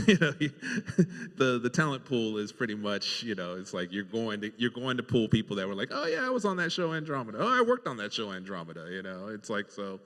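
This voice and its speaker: Deep voiced guy chatting on a panel, loose n halting, echoey hall. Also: huge pitch swings, fairly bright tone. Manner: halting, loose, repeats words. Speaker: TV writer on a panel. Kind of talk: casual panel chat. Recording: reverberant hall.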